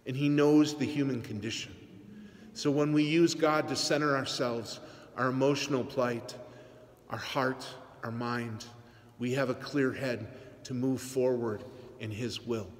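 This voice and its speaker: Man in his forties, priest, earnest measured sermon, mid pitch, long church reverb, phone on pulpit. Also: steady tone. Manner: earnest, measured preaching with teasing asides. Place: large church sanctuary. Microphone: phone camera on the pulpit, aimed up.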